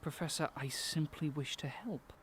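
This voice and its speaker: Radio drama support: male actor as earnest, respectful laboratory assistant, slightly high-pitched, formal and concerned. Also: normal speed, fairly bright tone, slightly rough. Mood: concerned, pleading.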